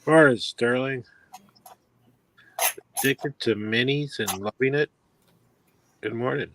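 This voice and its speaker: Guy co-host ribbing the host, mid pitch, hiss behind him. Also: takes it slowly. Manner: teasing, dry. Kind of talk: casual banter.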